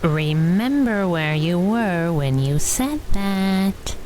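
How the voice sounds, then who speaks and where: An actress playing a sassy, suspicious, quick-witted newlywed woman in a 1930s-style radio adventure. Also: lowish voice, expressive, a little mellow, faint music behind.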